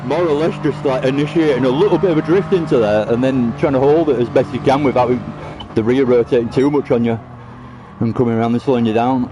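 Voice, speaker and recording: A man's voice: medium pitch, fairly even intonation, quick pace. A man giving casual, chatty commentary while playing a racing game, laughing often and teasing friends. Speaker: sim racing streamer. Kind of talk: casual live-stream gameplay commentary. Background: music.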